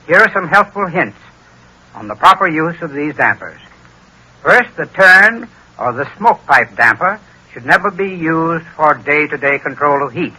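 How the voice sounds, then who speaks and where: A man's voice: a little high, lively intonation, unhurried, pushed and bright, husky, low-quality recording. A man giving a formal, instructive scripted advertising talk in 1930s radio style as a heating expert.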